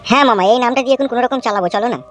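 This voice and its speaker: Woman acting plaintive cartoon lad, high voice, desperate, insistent, music underneath. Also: very fast, lightly acted. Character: desperate, pleading young nephew, homeless desert wanderer. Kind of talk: comedy cartoon dialogue.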